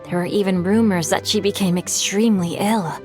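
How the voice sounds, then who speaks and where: A woman voicing a polite, bookish young nobleman in an anime game, thoughtful and modest, speaking in measured, formal, scholarly phrases. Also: medium pitch, fairly even intonation, darkish tone, natural voice. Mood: modest, pondering. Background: music.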